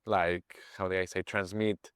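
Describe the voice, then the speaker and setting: A man in his twenties from Mexico with a Mexican Spanish accent, speaking English as a second language, halting and searching for words as an interview guest. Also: deep voice, little pitch movement, fairly bright tone, clear, resonant tone, no echo, studio quality. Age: 20s. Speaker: guest, page owner. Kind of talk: casual interview chat.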